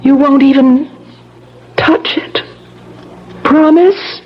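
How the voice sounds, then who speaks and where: An actress playing a weak, languid young woman, a vampire's victim, in a 1970s radio drama, with a faint, halting delivery. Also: high-pitched. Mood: exhausted, pleading. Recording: dull vintage radio, faint background noise.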